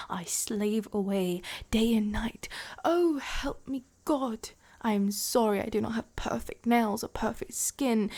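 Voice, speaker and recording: A woman playing a breathy, wounded, indignant royal wife. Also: on the high side. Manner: breathy, half-whispered, theatrical and accusing. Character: betrayed royal wife, proud, indignant, heartbroken. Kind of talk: dramatic fantasy roleplay monologue.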